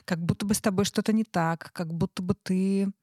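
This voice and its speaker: A woman co-hosting a casual, reflective conversation, thinking aloud in halting phrases. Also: medium pitch, fairly even intonation, darkish tone, slightly rough, no echo, clean studio sound. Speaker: talk show co-host.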